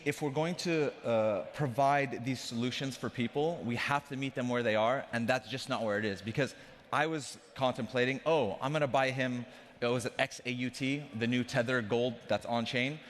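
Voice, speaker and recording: A young man from Afghanistan talks on stage in a large conference hall. His voice sits at a medium pitch, and a stage mic carries it into a long hall reverb. He keeps the talk casual and joking, turning to the crowd directly. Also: a bit bright, slight background noise. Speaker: conference speaker, company CEO.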